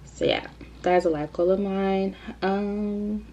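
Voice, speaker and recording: A woman's voice: medium pitch, takes it slowly, mellow, highs cut off, a little hiss or hum. A woman in her twenties chatting casually to the camera for her video Q&A, rambling with lots of fillers. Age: 20s. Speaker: YouTuber. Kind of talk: casual Q&A vlog.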